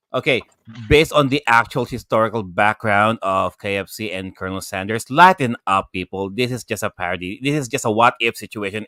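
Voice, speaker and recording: Guy podcast panelist joking around, bright punchy voice, really dry sound. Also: fairly low pitch, smooth, no room sound. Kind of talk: casual joking chat.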